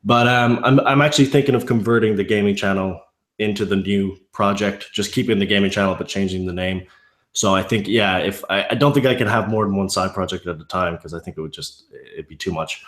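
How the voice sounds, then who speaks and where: Man, mild irish accent, low voice, roomy echo, rambling casual talk. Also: fairly quick, fairly bright tone. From Ireland. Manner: rambling, many restarts and fillers. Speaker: YouTube narrator guest. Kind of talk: casual interview chat.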